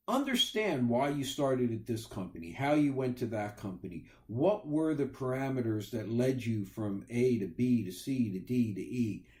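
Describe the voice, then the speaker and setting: Halting, explanatory middle-aged man. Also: mid-range voice, warm tone. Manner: halting, often pausing mid-phrase and repeating words. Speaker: recruiter giving career advice as podcast host. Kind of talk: informal unscripted advice talk.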